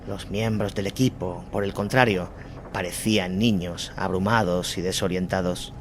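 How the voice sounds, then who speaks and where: A man's voice: low voice, fairly even intonation, normal speed, background noise. A man narrating a dramatized war chronicle as a scripted audio story. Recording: music bed behind the voice. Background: music.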